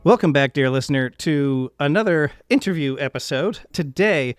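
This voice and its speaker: A man's voice: a little high, animated, normal speed, clean tone, no room sound, faint music behind. A man hosting an interview podcast, speaking in an earnest, effusive way. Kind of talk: podcast interview introduction and chat.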